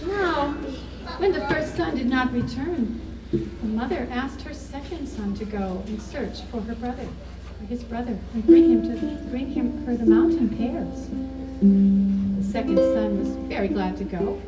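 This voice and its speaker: A woman's voice: fairly high pitch, measured pace, far from the mic. Woman storyteller, echoey, over strummed music. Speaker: storyteller at a puppet show. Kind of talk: live children's folktale storytelling. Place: live puppet show performance. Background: ukulele or guitar music, clearly heard.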